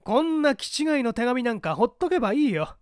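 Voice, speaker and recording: A hearty, pompous older official, acted by a male narrator, booms with amused scorn in an audiobook. The delivery swings widely in pitch and sits high for a man, in a dry, close recording. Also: sing-song, fairly quick, dry recording. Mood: amused, dismissive. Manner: grand, patronizing, booming. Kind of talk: audiobook character acting.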